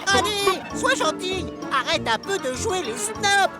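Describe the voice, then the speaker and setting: A woman's voice: very high voice, lively intonation, unhurried. A woman voicing an insistent, teasing puppet-show performer in a children's cartoon. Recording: music behind the voice.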